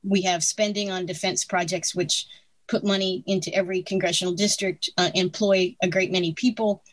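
A woman in her sixties giving strong opinions in casual conversation, animated and laughing between points. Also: fairly low pitch, fairly quick, dry recording. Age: 60s.

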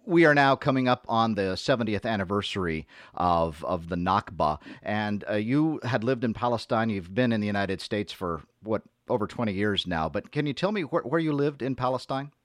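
Low-voiced male radio host. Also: expressive, dry recording, clean studio sound. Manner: measured, hesitant with many fillers. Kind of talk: radio interview. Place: radio studio.

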